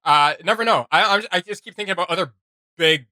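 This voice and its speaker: Man, reaction host, casual chat, hesitant with fillers, bright sharp tone, dry close sound. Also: high voice, quick pace, dry recording. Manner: hesitant, filler-heavy musing.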